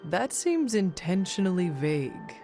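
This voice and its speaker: A woman's voice: fairly low pitch, sing-song, a little slow, warm tone. A woman playing a guarded, skeptical young warrior in a video game, dry and blunt. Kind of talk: video game cutscene acting. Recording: music behind the voice.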